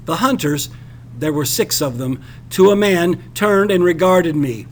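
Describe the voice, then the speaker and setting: Male story reader, high-pitched, expressive and deliberate, slight background noise. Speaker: reader of a literary passage. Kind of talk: expressive scripted audiobook-style narration.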